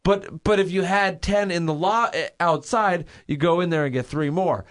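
Excitable ranting man, slightly high voice. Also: moderate pace. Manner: excitable ranting, swears, repeats himself. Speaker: radio show co-host. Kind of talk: casual ranting talk show.

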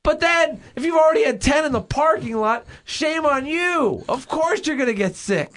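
A man co-hosting a talk radio show, ranting excitably, swearing and repeating himself as he makes his point. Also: very high-pitched, normal speed.

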